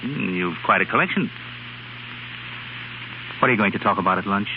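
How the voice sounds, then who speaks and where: A man's voice: lowish voice, animated, normal speed. An actor playing a wry, caring male suitor in a 1930s radio drama. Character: Gil, wry, caring suitor in love with Anne. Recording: very noisy, muffled 1930s radio recording.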